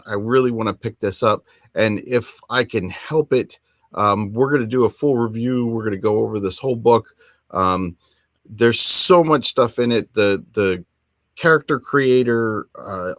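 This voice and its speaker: A middle-aged man hosting a casual hobby podcast, talking enthusiastically in a rambling, halting way. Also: medium pitch, fairly bright tone, no echo, clean studio sound. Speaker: podcast host.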